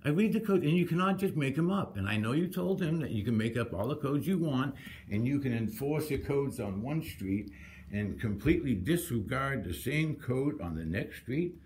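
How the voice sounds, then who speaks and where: A man's voice: mid-range voice, expressive, moderate pace, a little mellow, rough recording. A man arguing his own case at a public hearing, combative and insistent, often repeating himself. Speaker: citizen contesting a code violation at a hearing. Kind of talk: argumentative public hearing testimony.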